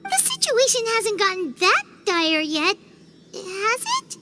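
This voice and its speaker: A woman voicing a tiny, curious, childlike fairy companion in a video game, with a squeaky voice. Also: sing-song, slow pace, background noise, heavily acted. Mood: worried. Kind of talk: scripted video game cutscene dialogue.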